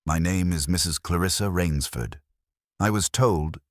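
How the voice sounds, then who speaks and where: A man's voice: sits low, measured pace, no echo, studio quality, pitched above the performer's own voice. A man voicing an anxious, formal and composed wealthy woman in her fifties, with brittle hesitancy, in a detective audiobook. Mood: hesitant, guarded.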